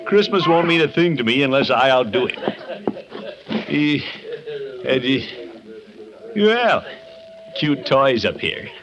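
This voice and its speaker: An actor playing a pompous, boastful middle-aged suitor in a 1950s radio comedy, with a blustery, chuckling, self-satisfied manner. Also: male voice, mid-range voice, sing-song, slow, pauses often, crowd noise. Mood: scheming, pleased. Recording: noisy vintage 1950s radio recording.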